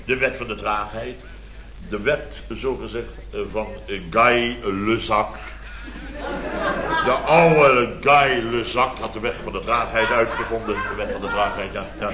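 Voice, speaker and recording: An actor playing a blustering, exasperated boss of a building firm in a radio comedy, indignant, sputtering and repeating himself. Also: male voice, lowish voice, fairly even intonation, measured pace, crowd noise, lightly acted. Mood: mocking, pompous. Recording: dull old radio recording, roomy, studio audience.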